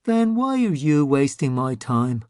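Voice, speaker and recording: A man's voice: medium pitch, sing-song, a little slow, a little mellow, dry recording, clean studio sound. A man voicing a vain, scheming zombie man who speaks smugly and peevishly. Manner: smug, wheedling, peevish. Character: scheming undead male zombie, vain and sly.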